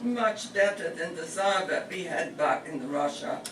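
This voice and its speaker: A man's voice: sits high, measured pace. A man playing a fussy, grumbling immigrant mother in a comic radio play. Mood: wry, curious. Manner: kvetching, Yiddish-sprinkled talk. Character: fussy old-country immigrant mother, bewildered, grumbling, doting. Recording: roomy, distant stage mic, clear noise.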